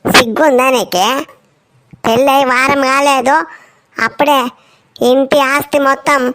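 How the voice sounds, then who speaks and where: A high-voiced, quarrelsome village relative from a homemade cartoon comedy, acted by a woman. The speech is colloquial and confrontational, full of scolding. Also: fairly even intonation, measured pace, a bit bright. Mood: angry. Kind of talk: amateur cartoon comedy dialogue in Telugu.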